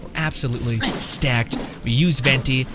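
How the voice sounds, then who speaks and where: A man's voice: mid-range voice, steady tone, normal speed, background noise. A man giving casual, rambling gameplay commentary as a streamer, swearing freely and mocking as he goes. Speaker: video game streamer.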